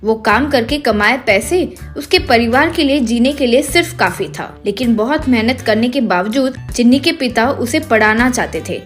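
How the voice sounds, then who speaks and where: A woman's voice: fairly high pitch, expressive, moderate pace, few pauses, faint music behind. A woman narrator reading a scripted children's story.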